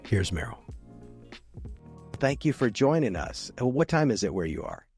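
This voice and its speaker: A man's voice: lowish voice, wildly swooping pitch, normal speed, darkish tone, soft background music. A man speaking as a podcast host and casual interviewer.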